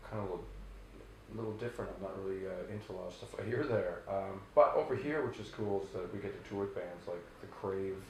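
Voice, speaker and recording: Brief: deep-voiced male rock singer, loose rambling answers, roomy echo. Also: quick pace, creaky, a little hiss or hum. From Canada. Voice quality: very low bass voice. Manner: relaxed, rambling, many restarts and fillers. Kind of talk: casual interview answers.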